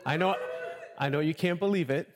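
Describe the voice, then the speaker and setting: A man in his late forties from Honduras, a visiting preacher giving an informal, conversational sermon, halting at times and repeating phrases for emphasis. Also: on the high side. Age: late 40s. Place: large church room, preaching to congregation. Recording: echoey hall, long reverb.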